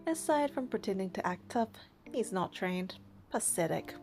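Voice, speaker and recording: A woman's voice: medium pitch, lively intonation, normal speed, a little mellow, soft background music. A woman doing a game playthrough, reading narration and heroine lines aloud and commenting casually.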